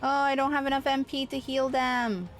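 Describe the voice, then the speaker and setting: A woman livestreamer chatting casually as she plays a video game, expressive and playful, given to exclamations. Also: sits high, moderate pace. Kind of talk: casual live gameplay chat and commentary. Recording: game music behind the voice. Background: video game music.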